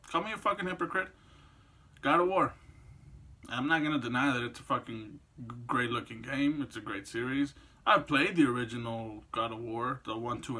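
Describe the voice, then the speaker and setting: A man's voice: mid-range voice, bright, forceful tone. A man in his twenties giving casual, rambling, opinionated commentary on games and films, swearing freely as he goes. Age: 20s. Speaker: video creator and host.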